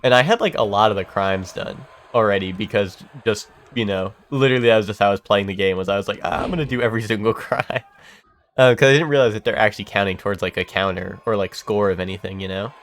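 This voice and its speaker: A man's voice: on the low side, fast, fairly bright tone. A young man streaming a game and chatting casually, congested from a cold, rambling with lots of fillers and restarts and casual swearing. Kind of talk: casual chat while gaming.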